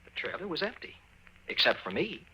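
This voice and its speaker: Animated, aggrieved young storyteller. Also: male voice, on the high side, fairly quick, slight background noise, exaggerated voice, poor audio. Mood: tense, puzzled. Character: exasperated, desperate young everyman telling his story. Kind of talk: 1950s radio narration acting. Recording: old 1950s radio recording.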